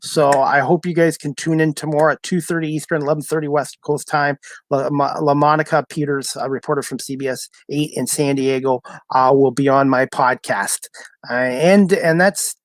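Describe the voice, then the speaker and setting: A middle-aged man with a fairly high voice hosts a casual podcast. His delivery is halting and repetitive, and the sound is fairly dry. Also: fairly even intonation, no echo. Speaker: podcast host. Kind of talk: casual podcast interview chat.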